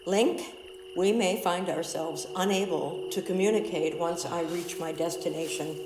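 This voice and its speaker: A woman playing a grave, loyal guardian and mentor in a fantasy audio drama, speaking in a solemn, deliberate, formal way. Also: fairly low pitch, reverberant, background noise, natural voice. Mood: urgent, grave. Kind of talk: fan audio drama acting.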